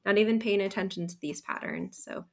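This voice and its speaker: A woman's voice: mid-range voice, brisk, darkish tone. A woman professor talking as a guest on a podcast, thoughtful, with many fillers and restarts. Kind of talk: casual podcast interview.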